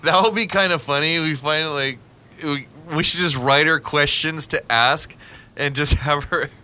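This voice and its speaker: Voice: mid-range voice, measured pace, pushed and bright. A podcast co-host in casual chat who laughs constantly and restarts sentences.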